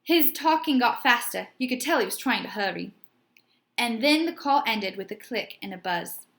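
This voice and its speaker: High, animated woman reading a children's story. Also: normal speed, gravelly. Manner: puts questions to young listeners. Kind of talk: expressive children's storybook narration.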